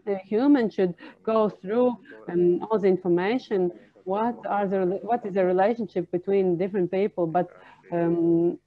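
A woman working as a simultaneous interpreter at a panel discussion, speaking in halting, separate phrases. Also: medium pitch, fairly even intonation. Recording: narrow, tinny phone-like line.

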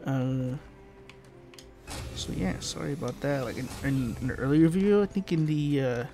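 A man's voice: mid-range voice, normal speed, gravelly, mellow, soft background music. A young man with a congested, nasal voice from allergies, giving casual, rambling gameplay commentary full of fillers. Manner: rambling, filler-heavy explaining. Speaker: gaming channel host. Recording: noisy home recording.

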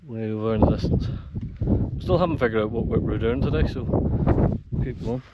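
A man's voice: mid-range voice, brisk, lo-fi sound. A man in his forties chatting casually and colloquially to a camera as he vlogs a hike outdoors. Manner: colloquial, matey. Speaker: hiking vlogger. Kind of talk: casual outdoor vlog chat. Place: open mountain hillside, outdoors. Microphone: action camera at arm's length. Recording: windy outdoor action-camera audio, loud noise. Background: loud wind noise.

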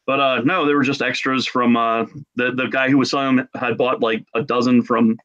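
Man, forties, mid-pitched, jokey casual storyteller, dull video-call sound. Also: flat delivery, brisk, fairly bright tone. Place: home room. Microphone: computer mic on a video call.

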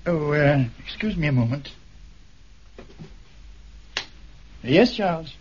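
An actor playing a flustered, evasive titled gentleman in a 1970s radio drama, hesitant and effusively courteous. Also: on the high side, animated, normal speed, a little hiss or hum, close to an everyday voice. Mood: uneasy. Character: flustered, evasive titled Scottish islander trustee, polite. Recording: dull, muffled 1970s radio recording.

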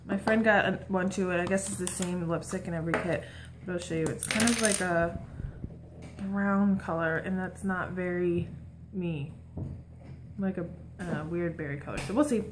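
A woman's voice: medium pitch, a little hiss or hum. A young adult woman chatting casually and informally to the camera as a shopping vlogger. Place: small room at home, indoors.

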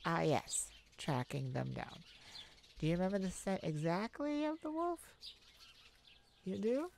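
A woman playing a tenderhearted mage devoted to a werewolf partner in a romantic ASMR roleplay, soft, affectionate and creaky, with pauses. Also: lowish voice, expressive, a little hiss or hum. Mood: affectionate, resolute. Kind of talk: romantic ASMR roleplay, one-sided dialogue.

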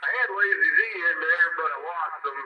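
A man's voice: on the high side, brisk, phone-quality sound. A man trading casual CB lingo over the radio. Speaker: CB radio operator. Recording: sharp, noisy CB radio transmission.

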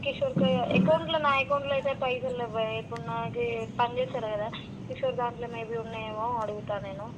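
A woman's voice: a little high, fairly even intonation, fast. A woman with a Telangana Telugu accent speaks casually over the phone, asking things in a concerned, insistent way. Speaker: friend receiving a prank call. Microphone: heard through a phone call. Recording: very noisy phone call.

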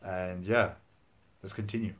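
Low, slow-talking man reacting on camera. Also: fairly even intonation, a bit bright. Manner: halting, thinking aloud. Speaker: reaction video host. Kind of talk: casual reaction commentary. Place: indoor room at home.

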